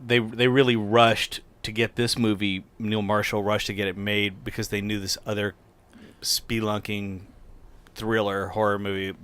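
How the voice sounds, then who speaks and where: A middle-aged American man co-hosting a casual film discussion as critic and host, halting and often restarting his phrases. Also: on the low side, level tone, a bit bright, clean tone. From United States, near the Appalachian Mountains.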